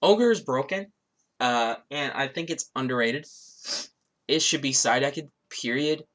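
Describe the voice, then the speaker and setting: A man's voice: medium pitch, unhurried, a bit bright, rough recording. A man who is a little sick, with a stuffy nose and sore throat, casually walking through a card game deck, rambling with lots of fillers. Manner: rambling, filler-heavy, restarts often.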